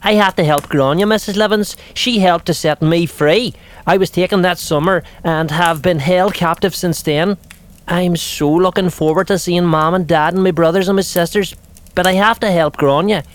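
An actress playing a loyal, gentle, encouraging young reindeer in a children's audio drama. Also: female voice, on the low side, moderate pace, lightly acted. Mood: earnest.

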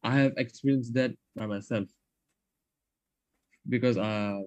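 A man's voice: medium pitch, fairly even intonation, darkish tone, no echo. Nineteen-year-old Malaysian English-accented male guest; hesitant, restarting speech; dry, muffled recording. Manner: halting, many restarts and fillers. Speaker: podcast guest, student. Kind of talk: casual podcast chat.

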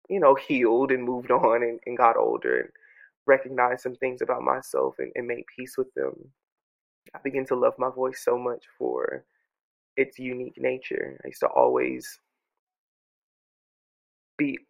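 A congested man journaling aloud at home into his microphone, speaking softly and earnestly, circling back and restarting his sentences as he reflects. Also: mid-range voice, expressive, moderate pace, creaky, long pauses, lo-fi sound. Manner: soft, earnest, musing with many restarts. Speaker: podcast host journaling aloud. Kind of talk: reflective personal audio journal. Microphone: own studio microphone.

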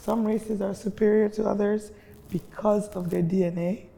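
A woman hosting a panel discussion in a large library reading room, speaking in a measured way and reading definitions carefully. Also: mid-range voice, fairly even intonation, creaky, warm tone, breathy edge. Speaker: host and immigration manager. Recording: long reverb of a large hall.

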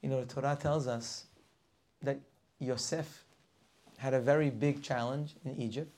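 A man's voice: medium pitch, steady tone, measured pace, a little mellow. A man teaching a weekly Torah class, preaching with passion, firing rhetorical questions and sometimes dropping to a near whisper.

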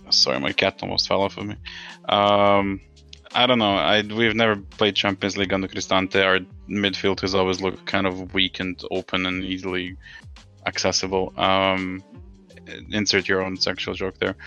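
A man's voice: low voice, pushed and bright, highs cut off. A man hosting a casual football podcast, rambling and frustrated, swearing freely. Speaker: podcast host.

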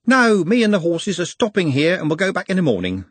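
A man's voice: sits high, wildly swooping pitch, a little fast, no echo, voice pushed up, full character voice. A man doing a cheerful, wry bus driver. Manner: chatty and wry, with a raised, bright delivery. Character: cheerful, worldly middle-aged male night-bus driver.

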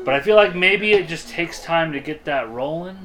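A man's voice: fairly high pitch, moderate pace, over music. A man chatting casually as a podcast co-host, slangy and prone to swearing and repeating himself. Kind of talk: casual chat.

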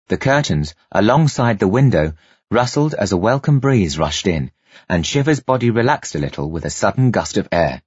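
A man's voice: on the low side, very animated, normal speed, darkish tone, husky, no echo, dull audio. A man reading scripted story narration as an audiobook narrator, with dramatic delivery.

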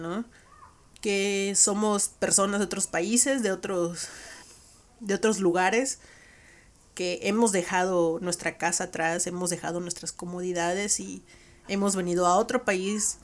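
A woman with a Mexican accent hosting a podcast, recapping a conversation in a reflective, conversational way. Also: lowish voice. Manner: reflective, conversational, frequent "¿no?" tags. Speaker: podcast host.

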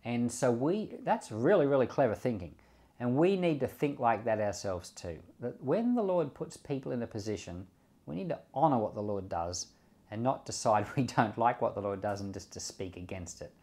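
Man giving relaxed bible commentary. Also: mid-range voice, normal speed. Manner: conversational, repeats words while thinking, light chuckles. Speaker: pastor. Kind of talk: bible commentary and closing prayer.

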